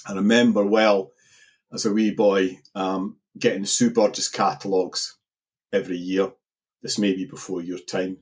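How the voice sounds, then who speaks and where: A man middle-aged Edinburgh-accented guest, husky and lowish voice, rambling anecdotal podcast talk. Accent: Edinburgh Scottish. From Scotland. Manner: rambling, repeats words while searching for them. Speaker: guest angler. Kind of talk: relaxed podcast interview.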